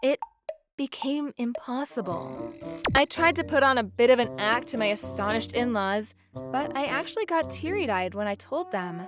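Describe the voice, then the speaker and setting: A woman's voice: fairly high pitch, animated, normal speed, lightly acted. A woman playing a weary, resolute young wife, narrating her divorce in first person for a manga dub. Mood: narrating, earnest. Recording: music bed under the voice.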